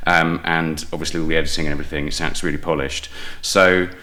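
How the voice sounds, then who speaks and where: A man's voice: a bass voice, level tone, quick pace, pushed and bright. A man speaking as a podcast guest, explaining things in an articulate, discursive, conversational way. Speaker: podcast guest, playwright and audio producer. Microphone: podcast microphone with headphones.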